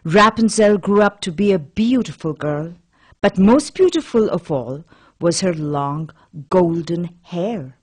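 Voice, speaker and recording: A woman's voice: on the low side, lively intonation, a little slow, darkish tone, breathy edge. A woman narrating a children's fairy tale as a scripted storyteller.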